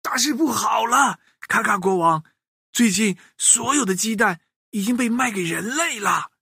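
Dad playing a rooster general, out of breath, husky, dry. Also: male voice, high-pitched, lively intonation, a little slow, sharp tone, no room sound, pitched above the performer's own voice, full character voice. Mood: breathless. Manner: urgent reporting.

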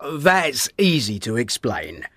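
A man's voice: mid-range voice, sing-song, takes it slowly, fairly bright tone, breathy edge, no echo, pitched above the performer's own voice, exaggerated voice. A man voicing a self-assured, brusque police inspector who is easily cross, in a dramatised audiobook. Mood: confident.

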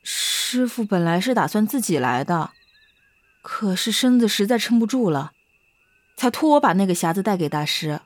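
A woman's voice: medium pitch, sing-song, moderate pace, dry recording, lightly acted. A woman playing a young female disciple disguised as a boy, polite, questioning and hesitant, in a Chinese period audio drama. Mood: respectful, curious.

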